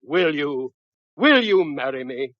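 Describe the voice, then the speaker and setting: Gushy lovesick old suitor, voice jumping around, dry old radio sound. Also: male voice, on the high side, slow pace, no echo, dull audio. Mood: gushing. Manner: excitable, gushing. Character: older man, excitable lovestruck suitor. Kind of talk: 1940s radio sitcom acting.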